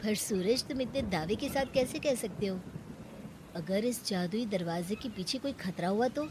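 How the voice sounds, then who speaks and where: A woman's voice: mid-range voice, moderate pace, background noise, close to an everyday voice. A woman voicing a kind, naive, hardworking male woodcutter in a Hindi moral-story cartoon, earnest and friendly. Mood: curious, wary.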